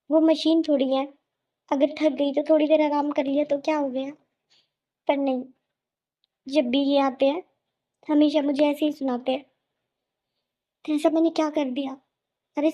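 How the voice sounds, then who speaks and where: Meek, aggrieved young cartoon daughter-in-law, high mellow voice, pleading, played by a woman. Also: dull audio, natural voice. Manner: plaintive, pleading, self-pitying. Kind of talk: melodramatic Hindi cartoon voice acting.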